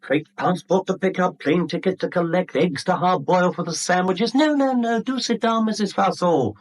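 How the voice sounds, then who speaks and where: An actor playing a smooth, gloating blackmailer in a 1970s radio drama, with a British accent and a glib, mock-polite, theatrical manner. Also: male voice, high-pitched, normal speed, lightly acted. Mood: breezy, taunting.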